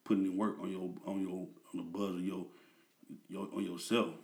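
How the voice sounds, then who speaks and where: A man's voice: low voice, little pitch movement, moderate pace, a little mellow. A man in his thirties, a guest in a casual interview, talking in a laid-back, slang-heavy way with lots of fillers.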